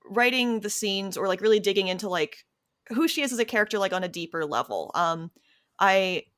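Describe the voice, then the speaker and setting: Woman actor-writer chatting, thinks as she goes, medium pitch. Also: animated, fairly quick, a bit bright. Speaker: actress and writer on an audio drama. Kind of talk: casual interview answers.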